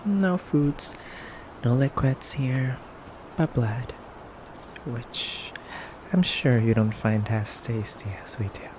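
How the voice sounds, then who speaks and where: A man playing a menacing, teasing male vampire captor in an ASMR roleplay, speaking softly and hesitantly. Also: medium pitch, close to an everyday voice. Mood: calm, teasing. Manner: slow, hesitant, teasing, quietly threatening. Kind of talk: ASMR roleplay addressed to the listener. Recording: clearly noisy background ambience.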